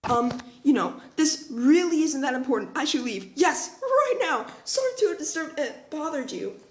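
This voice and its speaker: Male actor as nervous young canvasser woman, high, animated voice, roomy sound, comic dub. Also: normal speed.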